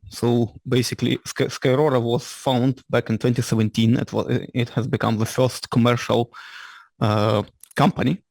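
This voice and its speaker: A man with a Ukrainian-Scottish accent giving a lecture as an invited engineer. He speaks in halting, slightly ungrammatical English and often restarts his sentences. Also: medium pitch, gravelly, darkish tone, no room sound, poor audio. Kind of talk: lecture presentation. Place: lecture room with audience.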